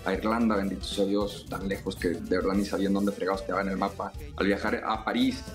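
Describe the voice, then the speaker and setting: A young man from Sonora, a singer, reflecting in a casual interview over a video call, halting often and restarting his sentences. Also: low voice, fairly even intonation, over music. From Sonora, Mexico. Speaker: singer and songwriter, interview guest. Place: home room.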